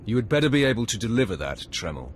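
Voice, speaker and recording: An actor playing an arrogant, menacing young Sith acolyte in a video game, cold, threatening and self-assured. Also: male voice, lowish voice, big pitch swings, very fast, a little hiss or hum.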